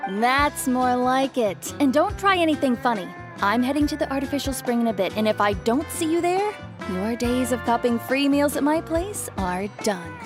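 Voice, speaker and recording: Bossy, caring auntie figure, wry and scolding, fairly high voice, game dialogue with music underneath. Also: female voice, expressive, normal speed. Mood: stern, warning. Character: strict, bossy but caring auntly neighbour woman. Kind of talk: video game voice acting.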